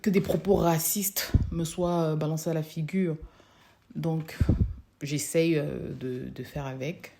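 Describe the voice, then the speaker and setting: Low, mellow-voiced woman from Africa. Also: steady tone, vocal fry. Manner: hesitant, frequent pauses and restarts. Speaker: social work student. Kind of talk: personal testimony.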